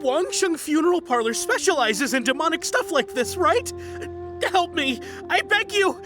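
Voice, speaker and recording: An actress voicing a panicky, superstitious youngster in a video game, melodramatic and pleading. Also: female voice, extremely high pitch, lively intonation, normal speed. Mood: frightened, pleading. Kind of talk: scripted video game voice acting. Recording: music behind the voice.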